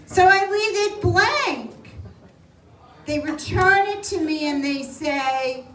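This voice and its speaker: A woman doing a live stand-up set, telling self-mocking stories in a loud, wry voice. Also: high voice, expressive, takes it slowly. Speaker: stand-up comedian. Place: small live venue with audience. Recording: roomy echo, distant mic.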